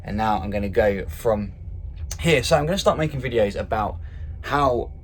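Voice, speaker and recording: A man's voice: lowish voice, expressive, moderate pace, slight background noise. A man working as cruise ship crew, talking casually to camera and rambling through restarts and fillers. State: rough voice just after a nap. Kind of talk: casual vlog talk to camera.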